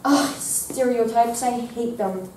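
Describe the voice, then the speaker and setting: A woman's voice: on the high side, slow pace, a little mellow, a little hiss or hum. A young student playing a kindly witch counselor in a school play, with fussy, theatrical exclamations. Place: school drama room, indoors. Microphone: far camera. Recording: very echoey room from far off.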